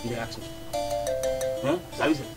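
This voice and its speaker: An actor playing a bullying, mocking police officer, speaking with a Nigerian Pidgin accent. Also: male voice, medium pitch, a little fast, over loud music, close to an everyday voice. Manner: gruff, mocking, threatening. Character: bullying male police officer, middle-aged.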